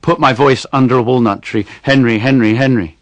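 A man voicing a confused, frail old grandmother who shouts in a frantic, repeating way while reading a story aloud. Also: mid-range voice, moderate pace, no echo, pitched above the performer's own voice, natural voice.

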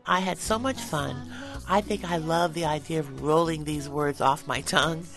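A middle-aged woman singer, a guest in a conversational interview, animated and quick to laugh. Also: sits low, over loud music. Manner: animated, laughs easily, talks with passion.

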